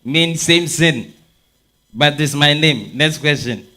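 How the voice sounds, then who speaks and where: A man playing a persistent Ukrainian businessman in a stage drama, speaking slowly and forcefully. His Eastern European accent comes with blunt, clipped, broken English and a slightly high pitch. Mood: matter-of-fact, persuasive. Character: forward, persistent Ukrainian businessman courting a woman.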